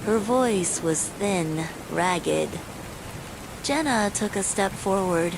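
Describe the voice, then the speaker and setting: A woman reading a scripted bedtime horror story in a measured, suspenseful way, as a storyteller. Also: mid-range voice, vocal fry. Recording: steady loud rain noise throughout. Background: falling rain.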